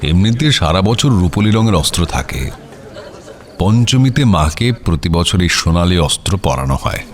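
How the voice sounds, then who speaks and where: An actor playing a courteous, uneasy landed gentleman in a radio drama, speaking in a measured, slightly hesitant way. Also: sits low, big pitch swings, a faint crowd. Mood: courteous, assured. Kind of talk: Bengali radio audio drama acting.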